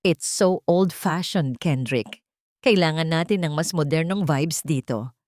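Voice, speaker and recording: A woman's voice: sits low, a little slow, darkish tone, no echo, clean studio sound. A woman voicing a vain, arrogant mistress who orders people around in an audio love story, haughty and bossy. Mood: haughty, dismissive. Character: arrogant, disrespectful mistress, vain young executive.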